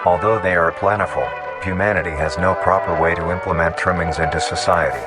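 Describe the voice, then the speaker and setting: A man reading scripted nature documentary narration in a formal, measured way. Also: deep voice, fairly even intonation. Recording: loud music under the voice. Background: loud music.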